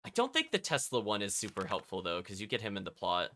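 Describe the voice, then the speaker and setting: A man's voice: lowish voice, wildly swooping pitch, fast, bright, forceful tone. A man streaming a video game, reading its text aloud and chatting with casual asides to his viewers. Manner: chatty, often commenting as an aside.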